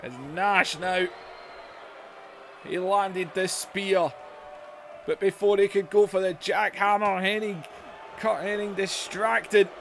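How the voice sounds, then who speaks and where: A man commentating a wrestling video game, shouting at big moves as his voice goes hoarse. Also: high-pitched, fairly even intonation, takes it slowly, long pauses, slight background noise. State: voice going hoarse.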